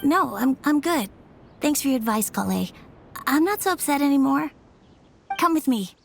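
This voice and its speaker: Quiet, creaky-voiced young boy. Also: high voice, lively intonation, normal speed, slight background noise. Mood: subdued. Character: quiet, sensible young son of the storyteller. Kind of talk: video game voice acting.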